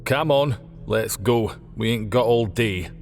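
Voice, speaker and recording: A man's voice: lowish voice, slow, creaky, big-room sound, faint music behind. A man voicing a cheeky, impatient male Scottish workman in an audiobook, with blunt, informal banter. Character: Jamie, cheeky impatient male sewer worker and driver. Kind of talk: audiobook character dialogue.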